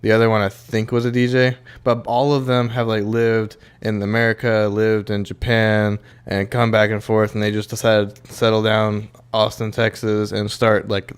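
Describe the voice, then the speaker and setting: A young man with a low, smooth, resonant voice chats casually as a podcast co-host. He talks loosely, swears freely, and scatters "like" and restarts through his sentences. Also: monotone, moderate pace, few pauses. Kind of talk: casual chat.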